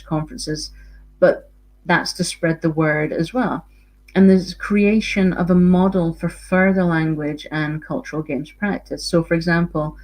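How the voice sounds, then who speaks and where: A woman's voice: lowish voice, a little mellow, poor audio. A Scottish woman, a university researcher, giving an earnest online conference talk with frequent ums and repeated words. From Scotland.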